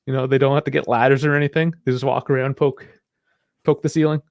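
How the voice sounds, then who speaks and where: A man's voice: mid-range voice, flat delivery, fast, darkish tone, no room sound. Chatty man on a dry mic. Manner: chatty, rambling, laughs often. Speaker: podcast co-host. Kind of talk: casual sports chat.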